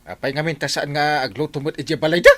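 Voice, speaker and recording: A man's voice: fairly high pitch, quick pace, fairly bright tone, close to an everyday voice. A man acting a fed-up, grumbling village husband in a comedy radio drama, with an Ilocano accent.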